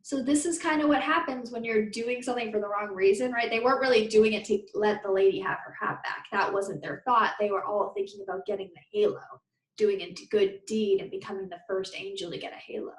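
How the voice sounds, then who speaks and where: A woman's voice: medium pitch, brisk. A woman reading a children's story aloud expressively, like a teacher to her pupils. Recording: roomy, echoey sound.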